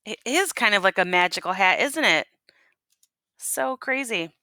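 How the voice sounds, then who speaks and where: Woman, storybook read-aloud, folksy and expressive, lively pitch swings, dry sound. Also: a little slow, no echo. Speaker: teacher reading a story aloud. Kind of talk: animated picture-book read-aloud to listeners.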